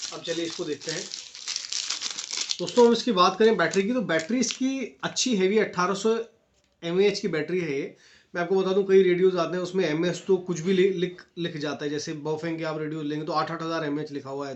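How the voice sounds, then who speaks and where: An Indian man presenting a casual unboxing review, explaining features to viewers in a friendly-address style with English terms mixed in. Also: on the high side. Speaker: video presenter and product reviewer. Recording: echoey room with steady noise and clicks.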